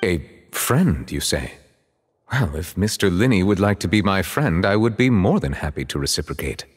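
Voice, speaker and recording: Stately male game judge: low, formal, reserved, expressive intonation, reverberant. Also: husky, exaggerated voice. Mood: courteous, formal. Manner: courteous, measured, ornate formal wording. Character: dignified, formal, reserved male chief justice. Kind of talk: video game character acting.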